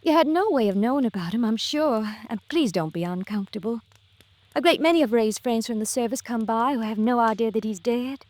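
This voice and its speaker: Actress as high-voiced gracious young widow. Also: female voice, expressive, a little fast, a little mellow, no room sound, close to an everyday voice. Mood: gracious, chatty. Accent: American. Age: young adult. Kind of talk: 1950s American radio drama acting.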